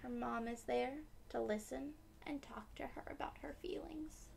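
A woman's voice: high-pitched, moderate pace, vocal fry, darkish tone, a little hiss or hum. A woman in her twenties reading a storybook aloud expressively, with asides to young children. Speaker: children's read-aloud host. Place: small decorated room indoors.

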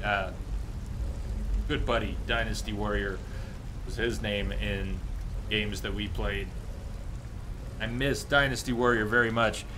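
Low-voiced man chatting on a game stream. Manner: musing, chatting with viewers between game remarks. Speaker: video game livestream host. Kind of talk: casual gaming stream chat. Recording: clear background noise from game ambience.

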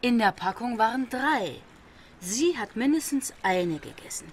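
A woman's voice: fairly high pitch, animated, a little slow, a little hiss or hum. An actress playing a sober, questioning female investigator in a crime radio drama. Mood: thoughtful, questioning.

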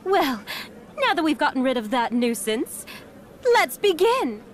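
A woman's voice: high voice, very animated, a little slow, a little hiss or hum. A woman playing a haughty, confident young heiress fighter in a video game, speaking in a formal, commanding way. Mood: poised, commanding.

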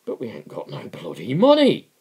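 A man's voice: medium pitch, wildly swooping pitch, normal speed, mellow, husky, voice pushed up, heavily acted. A man voicing an indignant working-class labourer in a dramatic book reading, blunt and demanding.

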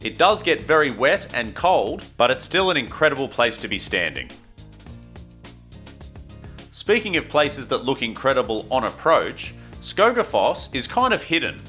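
A man's voice: medium pitch, big pitch swings, normal speed, pushed and bright. A man narrating his travel vlog in an enthusiastic, storytelling voice-over. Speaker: travel vlogger. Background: faint guitar music.

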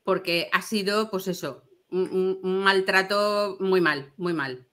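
A woman's voice: mid-range voice, slow pace, fairly bright tone. A middle-aged woman with an accent from Spain, chatting casually and reacting to others on a video call. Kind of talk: casual chat. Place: indoor room, on a video call.

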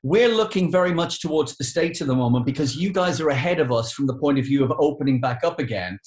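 British male radio interviewer, fifties, fairly high register, chatty delivery, markedly echoey living-room call. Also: fast, darkish tone. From United Kingdom. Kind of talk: conversational interviewing. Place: living room on a sofa, indoors.

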